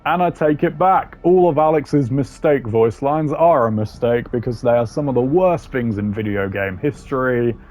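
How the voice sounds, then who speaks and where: A man's voice: medium pitch, normal speed, hardly any pauses, a little mellow, soft background music. A man giving casual, opinionated commentary as a gaming video creator, blunt and informal with plenty of slang. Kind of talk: casual opinionated commentary ranking game voice lines.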